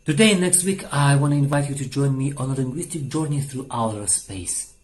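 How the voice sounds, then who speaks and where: A man presenting a short English grammar lesson, confident and animated. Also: medium pitch, brisk, crowd noise. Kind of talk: lively mini grammar lesson.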